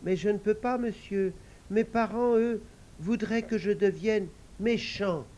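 High timid little devil boy, super polite, a guy doing the voice in a storybook reading. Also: a little slow, a little mellow. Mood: earnest pleading. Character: small polite young male devil, earnest.

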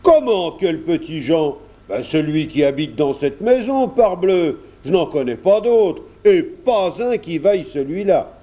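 A man's voice: on the high side, very animated, unhurried, hardly any pauses, warm tone, voice pushed up. A man voicing a hearty, good-natured countryman in his late thirties who talks in folksy exclamations for a storybook. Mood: hearty, insistent. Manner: exclamatory rustic talk with folksy turns.

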